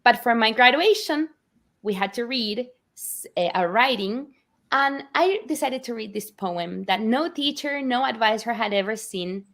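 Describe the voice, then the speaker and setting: A woman from Argentina, telling the story of her book as an interview guest with animated, earnest warmth. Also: on the high side, a little slow. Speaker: author guest. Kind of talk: conversational interview.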